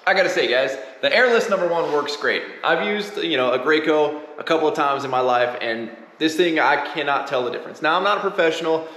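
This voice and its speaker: A young man vlogging his home renovation in a casual, excited, slangy way, filmed on a handheld action camera. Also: a little high, a little fast, hardly any pauses. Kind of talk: casual how-to vlog. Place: large empty unfinished room indoors. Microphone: action camera held at arm's length. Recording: echoey bare room.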